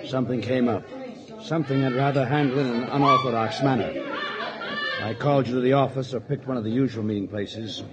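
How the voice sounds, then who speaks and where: A man playing a measured, matter-of-fact insurance executive in a 1940s radio drama. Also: mid-range voice, steady tone, over loud music, natural voice. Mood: serious. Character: businesslike male insurance company vice president. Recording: muffled old radio, crowd noise behind.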